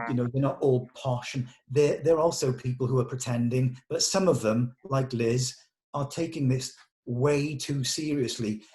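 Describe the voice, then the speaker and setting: A British man with a medium-pitched voice talks like a director to his cast. His delivery is informal, earnest and emphatic, with blunt, colloquial phrasing. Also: dark tone. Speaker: director leading a table read. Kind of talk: informal character briefing to actors.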